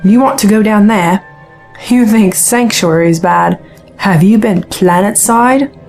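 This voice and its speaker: A woman's voice: medium pitch, slow pace, a little mellow, faint music behind. An actress playing a hard-bitten, sceptical woman reporter and pilot in a science fiction audio drama. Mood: warning. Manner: matter-of-fact, sceptical.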